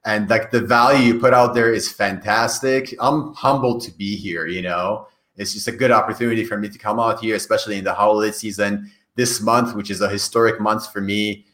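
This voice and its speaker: A man's voice: fairly low pitch, steady tone, a little fast, few pauses, fairly bright tone. A man who grew up in Iran and speaks Farsi as his first language, answering podcast interview questions in an earnest way, often restarting and repeating words. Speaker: podcast guest. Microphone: call.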